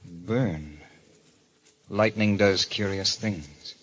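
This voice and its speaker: A man's voice: low-pitched, drawn-out words, fairly bright tone, breathy edge, heavily acted. A man playing a grave, cautious, authoritative doctor in a 1940s radio drama. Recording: noisy old radio recording.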